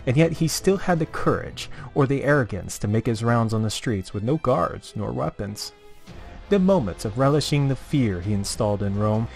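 A man giving dramatic scripted story narration in the theatrical manner of a showman. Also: mid-range voice.

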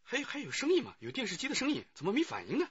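A man's voice: very high voice, huge pitch swings, very fast, breathy edge, dry recording, heavily acted. A man acting a polite, anxious hotel security guard in a radio play, placating and hesitant. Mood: puzzled. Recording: dull recording with background noise.

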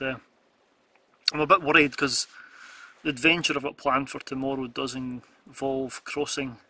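A Scottish man in his forties chatting to camera from inside a car at night, rambling with small laughs. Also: vocal fry. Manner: hushed and low at bedtime. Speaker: vlogger. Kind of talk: casual vlog to camera. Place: lying in bed in the back of a car. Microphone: camera on dashboard close up.

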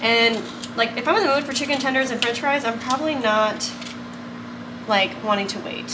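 A woman's voice: on the high side, normal speed, noisy background. A woman talking casually to camera in her home kitchen while she cooks, rambling with lots of "like" and "um". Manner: rambling, many "like" and "um" fillers. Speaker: vlogger and photographer. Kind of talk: casual vlog chat.

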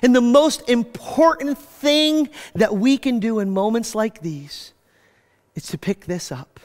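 Slow-talking preacher man in a reverberant hall. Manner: animated, gesturing, voice drops low at phrase ends. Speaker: pastor. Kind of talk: sermon. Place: church stage with screens, indoors.

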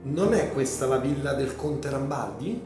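A man playing an anguished young gentleman in a radio drama, dramatic and emphatic, full of emotion. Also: mid-range voice, expressive, measured pace, natural voice. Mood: worried. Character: passionate, anguished young gentleman fearing for his sister. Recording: echoey room, music underneath.

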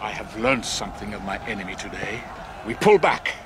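An actor playing a shrewd, deliberate sultan and war leader who speaks with authority in a historical audio drama. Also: male voice, lowish voice, animated, noisy background, lightly acted. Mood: calm, calculating. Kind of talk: historical radio drama acting.

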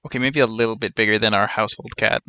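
A man's voice: fairly low pitch, steady tone, fast talker, bright, forceful tone, clear, resonant tone, lo-fi sound. A man in his thirties giving casual, joking live commentary on a game in a wry way. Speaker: game streamer.

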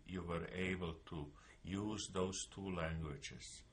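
Older man, deep voice, unhurried halting testimony, faint room hiss behind. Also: steady tone. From Carpathian Ruthenia, Czechoslovakia. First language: Yiddish. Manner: slow, halting, repeats words while recalling dates. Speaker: Holocaust survivor giving testimony. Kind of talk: recorded oral history testimony. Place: living room at home with bookshelves.

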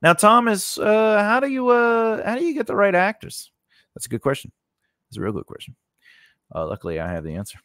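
Animated man giving an informal lesson. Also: high-pitched, brisk, no room sound, clean studio sound. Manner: conversational, repeats phrases and checks in with "okay?" and "all right?". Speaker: instructor and host of a writing lesson. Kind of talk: informal screen-share tutorial, unscripted.

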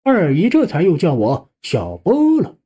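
A man narrating a scripted first-person suspense audiobook in a conversational, expressive way. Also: fairly high pitch, unhurried, husky.

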